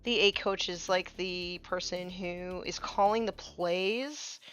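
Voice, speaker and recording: A woman's voice: lowish voice, fairly even intonation, slow pace, a bit bright, clear, resonant tone, faint music behind. A woman in her thirties chatting casually while painting miniatures on a live stream, with many fillers, sometimes trailing off to a murmur.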